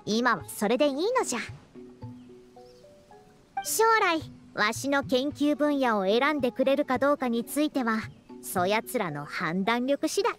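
A youthful game scholar with a high, very animated voice. She is proud and self-important but caring, and phrases everything like an old-fashioned elder. Soft music plays behind her. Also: a little slow. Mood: earnest, caring. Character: proud, youthful-sounding woman scholar who speaks like an elderly senior. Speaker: scholar and teacher. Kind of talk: Japanese anime-style video game voice acting.

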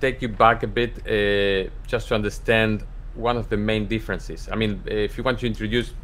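A middle-aged man from Argentina, a cantor, speaking as a guest over a video call in a measured way with pauses and fillers. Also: lowish voice, fairly even intonation, fairly bright tone, a little hiss or hum. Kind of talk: casual conversation. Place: home office. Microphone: studio microphone on a video call.

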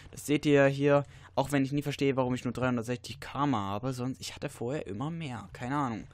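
A teenage boy with a blocked, stuffy nose from a cold talks through a video game at a middle pitch. He rambles loosely, fills his gaps with "uh", and now and then jumps into excited yelps. The sound is dry and close to the microphone, with no room around it. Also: a little fast, no room sound. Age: teens. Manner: rambling, many fillers, sudden excited outbursts. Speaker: let's player. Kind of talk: casual gameplay commentary.

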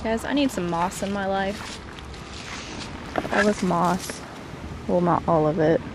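A woman's voice: mid-range voice, slow pace. A woman in her thirties chatting casually to camera and to her small child as she vlogs outdoors. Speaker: vlogger mother hiking with her young daughter. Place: outdoors on a wooded rocky trail. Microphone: handheld camera at arm's length. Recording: noisy outdoor handheld camera audio. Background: outdoor ambient noise.

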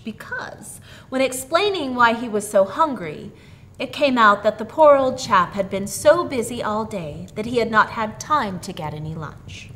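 A woman in her thirties reading a holiday story aloud in an expressive, animated storyteller's style. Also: mid-range voice, slight background noise. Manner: animated, measured.

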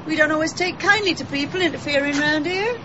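A woman's voice: sits high, quick pace, highs cut off, background noise, natural voice. An actress playing a brassy, guarded village landlady in a radio drama, with a breezy, pointed manner. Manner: breezy yet pointed and warning.

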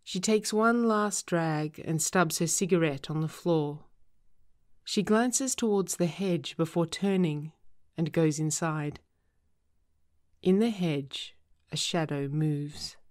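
Casting: female script narrator, deep for a woman, unhurried, measured reading of stage directions. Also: darkish tone. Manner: measured, descriptive.

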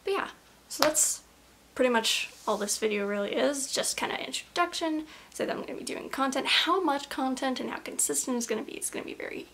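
A young woman chatting to her camera in a small room, rambling and giggling self-consciously, her voice a little raspy from allergies, recorded on the camera's own mic. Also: high voice, expressive, brisk, a bit bright, a little hiss or hum. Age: young adult. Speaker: vlogger. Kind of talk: casual chat to camera.

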